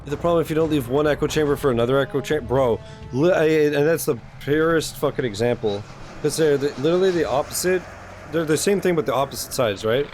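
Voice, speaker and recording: Male streamer, slightly high pitch, casual chat, blunt, sweary, slangy, music in background. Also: fast talker, darkish tone. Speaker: streamer reacting to game cutscenes. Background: game music.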